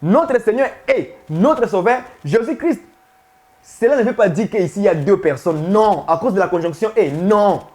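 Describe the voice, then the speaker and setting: Male preacher with a high voice; insistent, repetitive teaching style, asks questions. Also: expressive, moderate pace, a little mellow. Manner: emphatic, repetitive, questions the listeners. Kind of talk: sermon teaching to viewers.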